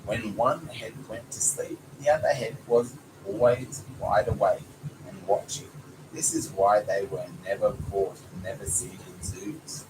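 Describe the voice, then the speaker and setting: A man's voice: lowish voice, fairly even intonation, a little slow. A man reading a children's story aloud as a father does for his child. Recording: noisy home recording, clear background noise.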